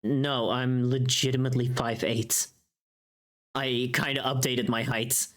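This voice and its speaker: VTuber stream host, medium pitch, off-the-cuff and teasing, casual rambling chat with light swearing. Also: flat delivery, measured pace, fairly bright tone. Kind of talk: casual livestream chat with viewers.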